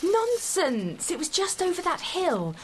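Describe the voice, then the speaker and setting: A woman playing an eager, encouraging, adventurous wife in an audio drama, with an expressive, brisk delivery. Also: very high-pitched, slight background noise, exaggerated voice. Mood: bright, eager.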